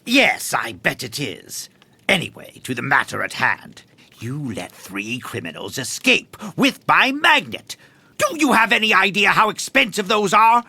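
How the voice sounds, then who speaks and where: Raspy, sneering, haughty rich tycoon. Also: medium pitch, big pitch swings, unhurried, pushed and bright, exaggerated voice. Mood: haughty disdain. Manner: condescending, sarcastic, theatrical. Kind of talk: audio drama acting.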